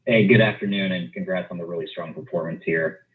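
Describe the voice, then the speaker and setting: A man's voice: deep voice, steady tone, a little fast. A male financial analyst calling in with a question on an earnings call. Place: phone call into conference. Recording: narrow phone line audio.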